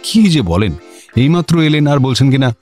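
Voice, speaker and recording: Man acting a senior government officer, very expressive, mid-pitched, officious, incredulous, radio comedy. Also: moderate pace, faint music behind, lightly acted. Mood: bemused. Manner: officious, chatty, incredulous questioning. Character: male district magistrate, exasperated, bemused senior official. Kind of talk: Bengali comic radio drama acting.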